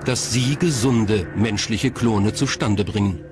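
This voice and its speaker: A man's voice: on the low side, slow pace, noisy background. A man reading scripted documentary narration as the narrator. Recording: music bed behind narration. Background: background music.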